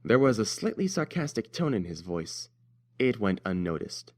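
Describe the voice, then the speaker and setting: A man's voice: lowish voice, big pitch swings, normal speed. A man narrating a scripted story as its reader.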